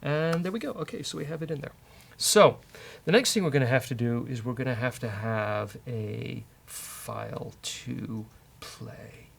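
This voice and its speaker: A man with an Irish accent hosting a casual live-coding demo, dry, teasing and halting. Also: medium pitch, gravelly, husky. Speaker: host, software developer.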